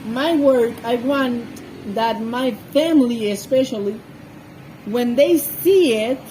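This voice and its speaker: A woman with a high voice speaks English as a second language, sharing informal scripture commentary. She goes slowly and haltingly, searching for her words. There is faint noise in the background. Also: warm tone, low-quality recording. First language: not a native English speaker. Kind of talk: informal Bible commentary with personal anecdotes.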